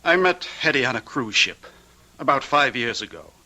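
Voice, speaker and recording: Very sharp-voiced troubled young husband. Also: male voice, mid-range voice, animated, moderate pace, low-quality recording. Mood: confessing, bitter. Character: young sophisticated accountant, troubled husband confessing dark secret. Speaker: actor. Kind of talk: old-time radio drama acting.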